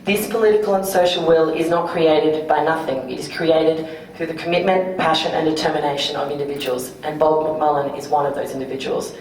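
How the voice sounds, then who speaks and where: Low-voiced Australian woman in an echoey room. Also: steady tone, brisk, a little mellow, distant mic, slight background noise. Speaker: group leader. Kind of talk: prepared speech. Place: event venue. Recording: echoey room, mic from afar.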